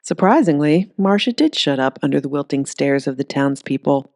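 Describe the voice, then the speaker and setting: A woman reading a story aloud in an expressive, scripted narration style. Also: low voice, normal speed, no echo, clean studio sound.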